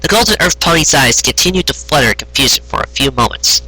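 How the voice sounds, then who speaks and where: An amateur storyteller narrates fiction in an expressive style. The voice is bright and husky, with animated swings in pitch. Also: fast, dry recording, poor audio.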